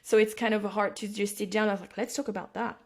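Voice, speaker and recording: A woman with a French accent, talking unhurriedly in a casual podcast conversation. English is not her first language, so she stops and restarts as she hunts for words. Her pitch sits in the middle range. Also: steady tone, fast, a little mellow. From France. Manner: searching for words, many restarts. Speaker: podcast host. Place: home, at night.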